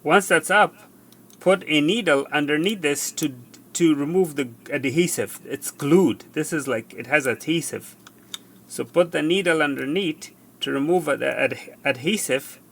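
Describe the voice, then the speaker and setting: Creaky-voiced man giving a repair demo. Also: on the high side. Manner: halting, step-by-step, repeats himself. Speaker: repair tutorial presenter. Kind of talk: hands-on how-to demonstration. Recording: faint background noise.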